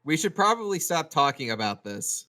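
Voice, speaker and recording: A man's voice: fairly high pitch, big pitch swings, moderate pace, over a noisy crowd. A man talking casually as a panelist on an online podcast call. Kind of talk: casual chat.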